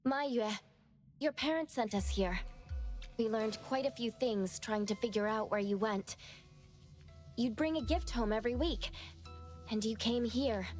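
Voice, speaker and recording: An actress playing an earnest, empathetic young woman in an anime-style video game, explaining gently and persuasively. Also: on the high side, normal speed, close to an everyday voice. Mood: gentle, sincere. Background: faint background music.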